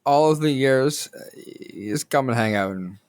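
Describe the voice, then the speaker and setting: A podcast co-host who keeps the banter moving by tossing out playful questions. His mid-pitched voice rises and falls expressively as he talks. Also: animated, moderate pace. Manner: playful, steers the talk with questions. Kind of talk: casual film-discussion chat.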